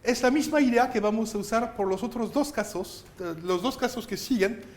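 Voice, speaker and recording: French-speaking man lecturing, high voice. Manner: explains step by step, insistent, gently scolding; halting, restarts while writing on board. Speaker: university math lecturer. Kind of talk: classroom lecture. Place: lecture hall.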